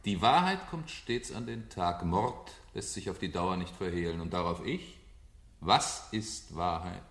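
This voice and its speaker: Theatrical, domineering fire captain. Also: male voice, low voice, expressive, measured pace, a bit bright, full character voice. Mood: mocking, declamatory. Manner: theatrical, mocking, declamatory. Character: domineering, well-read fire captain, jovially menacing. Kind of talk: German radio play acting. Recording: echoey 1970 radio drama studio.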